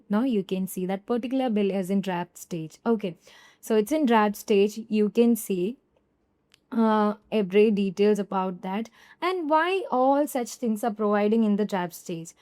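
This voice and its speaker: A woman giving a casual, conversational software walkthrough over a screen recording, often correcting herself and speaking to viewers as "you guys". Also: medium pitch, moderate pace. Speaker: software tutorial presenter.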